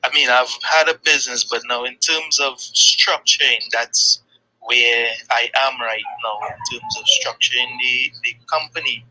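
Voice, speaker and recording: Hesitant Trinidadian man, bright voice. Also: mid-range voice, lively intonation, a little hiss or hum, low-quality recording. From Trinidad and Tobago. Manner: hesitant, halting, with many fillers. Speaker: guest, freelance graphic designer. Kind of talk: casual conversation.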